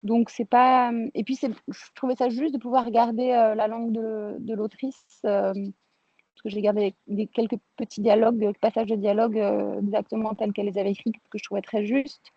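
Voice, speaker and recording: A woman's voice: fairly high pitch, level tone, moderate pace, no room sound. A woman from France speaking as an interviewed film director, reflective and informal, thinking aloud and rephrasing as she goes, on slightly lo-fi audio.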